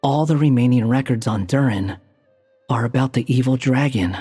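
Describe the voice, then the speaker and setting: A man voicing an aloof, sharp-tongued young wanderer in a video game, dry and curt. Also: medium pitch, normal speed, breathy edge, close to an everyday voice. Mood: serious. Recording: echoey game audio with music.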